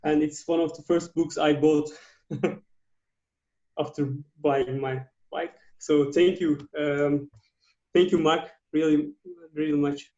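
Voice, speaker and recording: A man whose first language is Turkish, joining as an audience member and speaking in a halting way. His voice sits a little high. His video call sounds dull and lo-fi in a roomy, echoey space. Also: little pitch movement, darkish tone.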